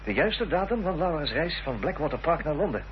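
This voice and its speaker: An actor playing a determined gentleman investigator, formal and reasoning, in a period radio drama. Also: fairly high pitch, sing-song, a little fast. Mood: earnest, reasoning. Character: earnest, determined gentleman investigator in Victorian mystery. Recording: dull, noisy, humming old radio recording.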